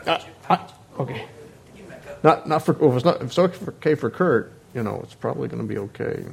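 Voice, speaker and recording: A man leading a Bible class into a microphone, talking hesitantly with many restarts and fillers and clearing his throat often. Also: medium pitch, lively intonation, quick pace. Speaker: Bible teacher. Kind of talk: informal Bible class talk. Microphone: microphone on a sound system.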